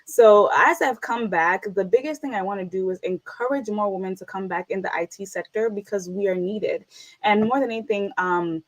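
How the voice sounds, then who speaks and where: A woman's voice: medium pitch, normal speed, low-quality recording. Earnest woman, interview guest. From United States. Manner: earnest, reflective, repeats for emphasis. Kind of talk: casual interview conversation.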